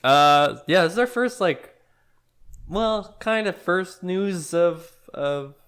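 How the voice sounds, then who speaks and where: A man co-hosting a podcast in casual chat, relaxed and teasing, halting a little as he brings up news. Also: high voice, smooth. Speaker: podcast co-host.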